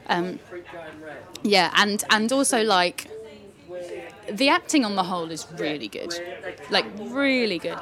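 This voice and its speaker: A woman's voice: medium pitch, expressive, unhurried, fairly bright tone. A woman co-hosting a podcast, chatting casually about films with many restarts. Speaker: podcast co-host. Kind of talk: casual film review chat. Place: pub. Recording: noisy pub with crowd. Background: pub crowd chatter.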